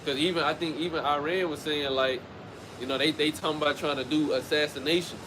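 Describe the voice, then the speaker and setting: A man's voice: fairly high pitch, steady tone, quick pace. Rambling street preacher man, traffic behind. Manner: loose, conversational, restarts phrases often. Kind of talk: outdoor street teaching. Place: outdoors by a street. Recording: outdoor traffic noise. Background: passing vehicles.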